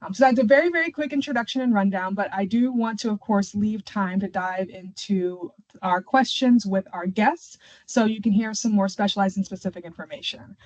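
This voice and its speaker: A woman's voice: mid-range voice, fairly quick. A woman hosting an informational webinar as presenter, speaking over an online call. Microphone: online video call. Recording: dull, lo-fi webinar audio.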